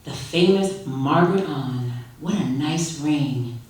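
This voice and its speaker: A woman's voice: low voice, slow pace, a little mellow. A woman voicing an elderly Korean father, playful, wistful and doting, in a theatrical live story reading. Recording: echoey hall, distant microphone.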